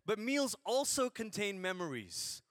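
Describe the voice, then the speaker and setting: A man in his forties from Malaysia, preaching a sermon through animated, humorous stories. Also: high-pitched, unhurried, dry recording, studio quality.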